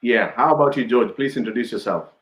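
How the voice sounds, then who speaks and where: A man's voice: mid-range voice, quick pace, a bit bright. A man hosting a casual interview over a video call, chatty and laughing often with many fillers. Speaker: host. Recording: echoey room.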